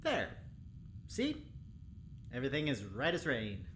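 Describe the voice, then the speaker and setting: An easygoing assistant scientist in an audio drama. He talks casually and hesitantly with a very animated melody, over faint noise. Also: mid-range voice, unhurried. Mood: chipper, awkward. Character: easygoing, flustered lab doctor assisting the boss.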